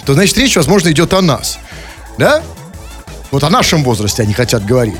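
A man's voice: mid-range voice, sing-song, quick pace. A man hosting a casual comic radio show, bantering in a mock-serious, digressive way with teasing rhetorical questions. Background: music.

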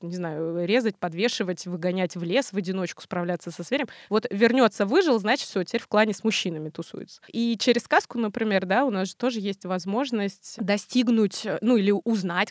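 Woman, podcast expert guest, colloquial animated explaining, mid-pitched voice, dry studio sound. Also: no echo. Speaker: interview guest, psychology expert. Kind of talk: conversational explanation in a podcast interview.

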